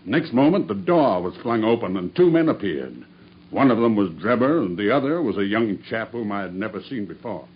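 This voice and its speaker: A man's voice: lowish voice, sing-song, fairly quick, breathy edge. An actor playing a grim, vengeful cab driver who narrates his hunt in an intense radio drama. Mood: brooding. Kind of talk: 1970s-style radio drama acting. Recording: dull old radio recording with faint hiss.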